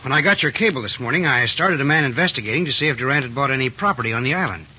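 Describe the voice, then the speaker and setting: Businesslike American FBI boss, medium pitch, clipped delivery, muffled old radio drama. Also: male voice, expressive, racing, sharp tone, slightly rough, heavily acted. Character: FBI agent in charge, questioning and directing. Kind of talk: 1940s radio crime drama acting.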